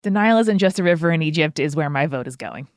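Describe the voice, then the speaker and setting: Podcast co-host, woman, animated pitch, playful banter, dry studio sound. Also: fast, no room sound. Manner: playful, reactive, lively interjections. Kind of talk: casual chat.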